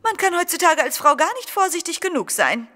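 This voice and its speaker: A woman's voice: very high voice, big pitch swings, fairly quick, background noise. A woman playing a superstitious, wary lady poet in an audio drama, with an affected, grandly polite and dramatic manner. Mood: gracious, guarded.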